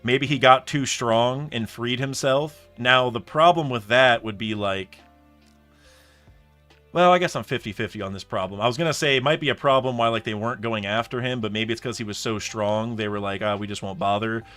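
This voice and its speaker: A man's voice: medium pitch, normal speed, a bit bright, faint music behind. A young man chatting casually on a live stream, thinking aloud in rambling sentences full of restarts and fillers. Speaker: streamer reacting to a comic chapter.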